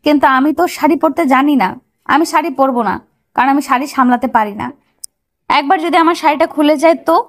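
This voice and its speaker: Audio-story bride , acted by a woman: young, shy but feisty, high voice. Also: brisk. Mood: worried protest. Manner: bashful, protesting.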